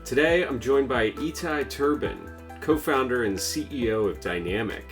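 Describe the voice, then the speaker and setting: A man hosting a podcast, reading a scripted intro and then interviewing a guest in a measured, interested way. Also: mid-range voice, animated, soft background music. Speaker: podcast host.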